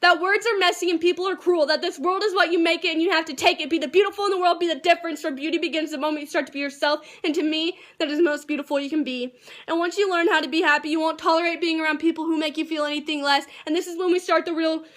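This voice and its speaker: A woman's voice: very high-pitched, steady tone, quick pace, fairly bright tone. A teenage girl, an amateur slam poet, reciting spoken-word poetry in an earnest, emphatic, rehearsed delivery. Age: teens.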